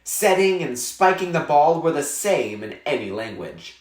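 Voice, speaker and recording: A man narrating a children's novel aloud in an expressive, measured storytelling style with clear phrasing. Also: a little high, reverberant.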